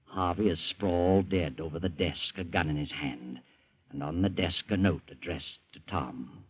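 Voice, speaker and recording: An actor as an ominous storyteller with an American accent, giving husky, dramatic scripted narration for a radio mystery. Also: male voice, low voice, moderate pace. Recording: muffled old radio recording with music.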